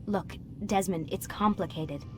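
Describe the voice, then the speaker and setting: A woman's voice: lowish voice, measured pace, over music, lightly acted. A woman playing a guarded, sympathetic young lab assistant in a video game, with an earnest, explanatory manner. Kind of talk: naturalistic video game acting.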